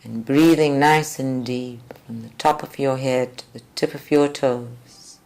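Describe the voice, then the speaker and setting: A woman leads a guided group meditation with deliberate, measured phrasing and long pauses. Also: rumbling low, steady tone, a little mellow. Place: group meditation at a creativity centre. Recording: live recording with music behind. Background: faint music.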